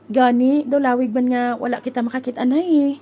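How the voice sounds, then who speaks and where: A chatty, affectionate Filipino bride-to-be, played by a woman, reassures and banters in a comic audio drama. Her voice is high. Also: fairly even intonation, fairly quick, mellow, husky, soft background music. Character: affectionate, eager young bride-to-be.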